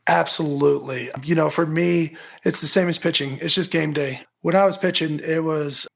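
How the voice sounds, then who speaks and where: A man with a raspy voice, a musician guest talking in an energetic, pep-talk way and often tagging on "right?". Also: on the high side, normal speed. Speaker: musician guest, former baseball pitcher. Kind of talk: casual interview talk.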